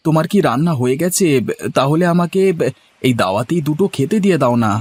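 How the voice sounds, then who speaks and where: A man's voice: a little high, expressive, quick pace, a little mellow, breathy edge. A man voicing a hardworking, good-natured farmer husband in a cartoon, earnest and projected in a theatrical way. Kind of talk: Bengali cartoon dubbing.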